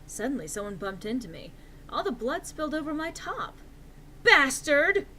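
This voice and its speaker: A woman's voice: high voice, sing-song, a little fast, a bit bright, slight background noise. A woman reading a story aloud as narrator in a theatrical, mock-serious and emphatic comic style.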